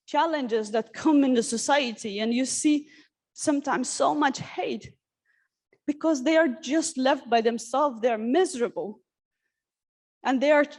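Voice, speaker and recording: A woman from Syria giving an earnest, halting personal talk at a workshop, with many restarts and fillers. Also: high-pitched. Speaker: conference speaker. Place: conference hall.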